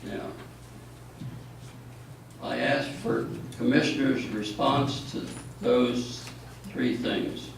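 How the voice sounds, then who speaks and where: A man with a gravelly, creaky voice speaks slowly and deliberately to a town board. He makes measured, pointed remarks. He sounds distant, caught in a very echoey meeting hall with faint noise behind. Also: mid-range voice. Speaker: town commissioner at a public meeting.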